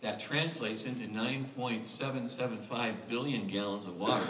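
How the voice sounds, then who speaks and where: A man hosting a lecture panel, speaking haltingly with many fillers as he gives figures and introduces guests. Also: medium pitch, fairly bright tone, slight background noise. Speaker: lecture series host and panel moderator. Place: museum lecture hall. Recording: echoey room, mic far off.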